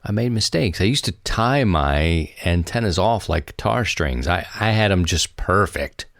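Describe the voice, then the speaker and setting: A man's voice: sits low, expressive, measured pace, creaky, fairly bright tone, no echo, studio quality. A man with an American accent hosting a podcast, chatting casually and rambling in a folksy way to his listeners. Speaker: podcast host. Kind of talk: casual solo chat to listeners.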